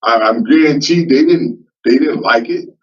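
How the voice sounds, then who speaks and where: A man in his fifties at a parole hearing, speaking haltingly with many fillers and repeats. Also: medium pitch, breathy edge. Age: 50s. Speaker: parole applicant. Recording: muffled distant remote-call audio.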